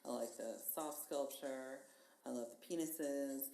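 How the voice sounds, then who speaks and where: A woman's voice: extremely low pitch, a little slow, vocal fry, mellow. A middle-aged woman chatting casually as a podcast guest, laughing often and restarting her sentences with enthusiasm. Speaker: guest painter. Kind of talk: casual chat. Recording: echoey room with faint hiss.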